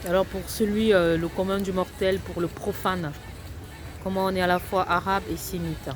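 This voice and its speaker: A high-pitched man asks short, prompting questions from off camera. His questions push the speaker to explain further. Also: flat delivery, moderate pace, music in the background. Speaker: off-camera interviewer.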